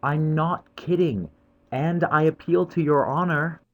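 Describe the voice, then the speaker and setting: A man's voice: a little high, a little slow, highs cut off, pitched above the performer's own voice, natural voice. A man voicing an older boy in a storybook who speaks in firm, commanding tones. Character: boy, eldest brother, firm and bossy.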